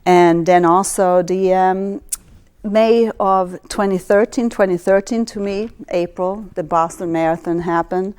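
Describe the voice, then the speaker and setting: Swedish lady rambling through an astrology talk, lowish voice, lots of ums and restarts. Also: steady tone, darkish tone, smooth. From Sweden. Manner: rambling, halting delivery with frequent restarts and fillers. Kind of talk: astrology lecture.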